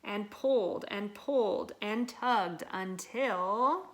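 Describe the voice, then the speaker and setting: A woman reading a children's picture book aloud in an expressive, dramatic and deliberate way. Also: mid-range voice. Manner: dramatic, deliberate delivery with acted sound words. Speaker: storybook reader.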